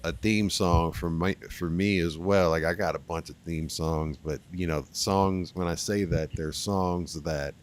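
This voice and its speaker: A man's voice: deep voice, steady tone, a little slow, few pauses. A man hosting an interview. He talks in a casual, rambling way with lots of fillers and easy enthusiasm. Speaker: interview host.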